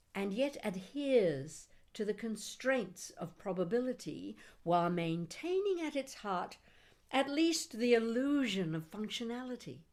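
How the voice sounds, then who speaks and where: A woman reading her own poetry aloud, with deliberate, measured phrasing, dramatic pauses and short chatty asides. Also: mid-range voice, darkish tone. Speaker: poet reading own work.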